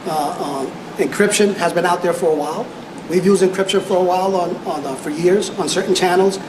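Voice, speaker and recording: A man's voice: high-pitched, a little fast. A man answering press questions as a police technology official, hesitant and repeating words as he searches for phrasing. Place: indoor press conference room. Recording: echoey room with clear background noise.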